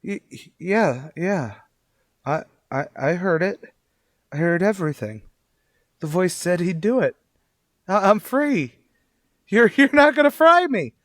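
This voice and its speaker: Man acting out a terrified, high-pitched condemned prisoner. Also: expressive, measured pace, pauses often, dry recording, clean studio sound. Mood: shaken relief. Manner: stammering, pleading. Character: terrified condemned young man pleading innocence. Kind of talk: old-time radio drama acting.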